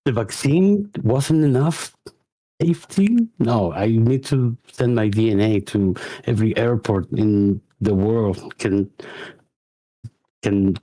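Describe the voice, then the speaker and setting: Slow, hesitant Chilean-born man. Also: fairly low pitch, mellow, low-quality recording. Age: 40s. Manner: halting, hesitant phrasing. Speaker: caller. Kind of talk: call-in storytelling, partly read aloud.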